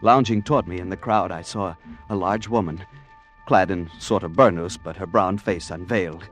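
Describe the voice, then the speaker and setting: A man's voice: low voice, moderate pace, close to an everyday voice. An actor playing a timid, prim American tourist in an old-time radio drama, stammering politely and narrating his adventure. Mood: uneasy, confiding. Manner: flustered, stammering, polite nervous chuckles. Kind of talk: 1940s-50s American radio drama acting. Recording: vintage radio broadcast with music.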